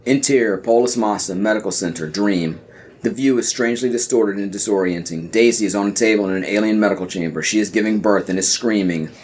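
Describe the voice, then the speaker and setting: A man's voice: on the low side, a little fast, darkish tone, slight background noise. A man reading scripted scene directions as the narrator of an audio play.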